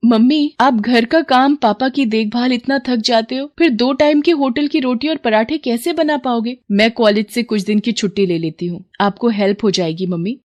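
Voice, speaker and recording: A woman voicing an eager, encouraging college-age daughter in an animated moral story, with a coaxing, pleading manner. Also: fairly high pitch, moderate pace, hardly any pauses, natural voice. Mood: concerned. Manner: pleading, bright-spirited, coaxing.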